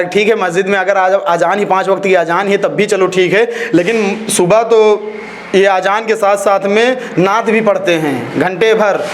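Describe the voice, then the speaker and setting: A man's voice: sits high, slight background noise. A man giving opinionated interview answers, emphatic and argumentative, repeating phrases and throwing out rhetorical questions. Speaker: interviewee, social activist. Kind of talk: opinionated street-interview talk.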